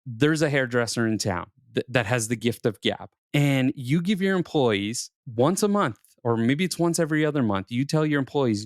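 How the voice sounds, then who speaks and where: A man's voice: mid-range voice, dry recording. Emphatic man co-hosting a podcast, dry sound. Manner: emphatic, coaching, profane. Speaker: podcast co-host. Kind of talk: casual opinionated podcast talk.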